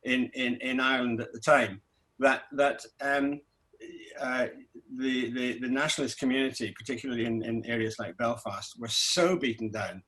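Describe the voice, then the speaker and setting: A middle-aged man who grew up in Scotland, a documentary director answering festival questions, talking in a halting way with many restarts. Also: medium pitch, steady tone, pushed and bright, poor audio. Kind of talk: festival Q&A interview.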